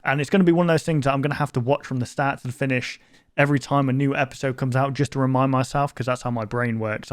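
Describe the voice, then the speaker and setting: A man's voice: medium pitch, dry recording, clean studio sound. A man hosting a reaction video, thinking aloud in a casual, rambling way with many fillers and restarts.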